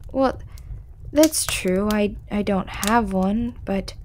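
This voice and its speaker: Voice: medium pitch, takes it slowly, a little hiss or hum, lightly acted. Someone voicing a shy, ageless hooded storyteller man. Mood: bashful. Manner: hesitant and stammering, many ums and restarts; soft-spoken, often whispering. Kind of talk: ASMR audio roleplay.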